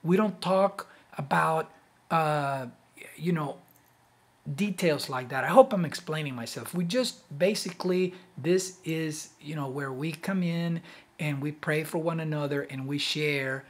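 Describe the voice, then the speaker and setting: A man with a moderately high voice explains things as a host in a casual, direct way. His delivery is halting, with repeated words as he searches for phrasing. He often pauses to check that the listener follows. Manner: halting, repetitive, with frequent check-in "okay?". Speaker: host, accountability group leader. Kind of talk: casual explanatory talk to camera.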